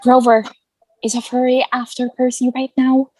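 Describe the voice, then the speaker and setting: Woman playing high-voiced, protective worried mother. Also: level tone, normal speed, dark tone, no echo. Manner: urgent, emphatic. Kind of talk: theatrical table read.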